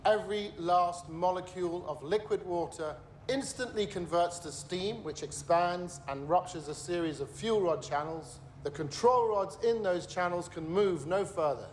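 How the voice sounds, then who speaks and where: An actor plays a grave male nuclear scientist giving courtroom testimony in a television drama. His voice sits a little high and he explains in measured, emphatic phrases with an edge of bitterness. There is faint noise behind him. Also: close to an everyday voice. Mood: grave, urgent.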